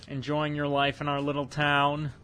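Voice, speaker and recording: A man's voice: a little high, completely flat delivery, normal speed, pushed and bright, soft background music. A man voicing a smug, condescending young nobleman who taunts, in an amateur radio play. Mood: taunting.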